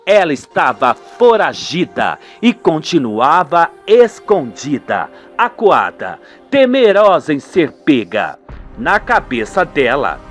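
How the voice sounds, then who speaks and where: A man's voice: sits high, fairly bright tone. A Brazilian man giving a theatrical, emphatic scripted narration for a radio soap, with music behind him.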